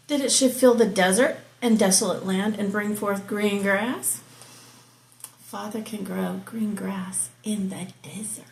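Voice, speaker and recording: A woman reading scripture aloud slowly and explaining it, stopping for casual asides and soft whispered remarks. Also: medium pitch, vocal fry, echoey room, slight background noise. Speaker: Bible reading host. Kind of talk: slow scripture reading with commentary.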